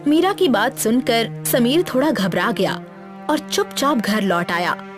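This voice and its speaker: A woman's voice: on the high side, very animated, measured pace. A woman reading a scripted, dramatic story as its narrator. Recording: music bed behind the voice.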